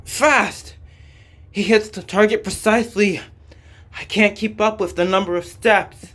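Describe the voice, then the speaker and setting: An alert young-sounding game warrior, acted out by a man, pitched well up and pushed louder. The delivery is urgent and commanding, like a soldier on guard. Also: fairly even intonation, a little slow. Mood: urgent, alarmed.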